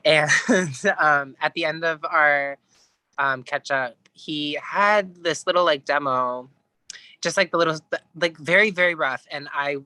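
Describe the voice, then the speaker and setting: A male musician talks as a guest in a relaxed, informal interview style. His voice is bright and forward, recorded close and dry with no room sound. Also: a little high, a little slow, dry recording. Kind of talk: casual chat, telling an anecdote.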